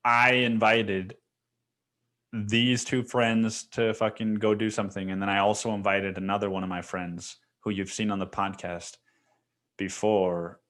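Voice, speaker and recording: A man's voice: lowish voice, a bit bright. Rambling, foul-mouthed male podcast host. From Mexico. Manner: rambling, profane, filler-heavy with repeated "dude". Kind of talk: casual solo monologue.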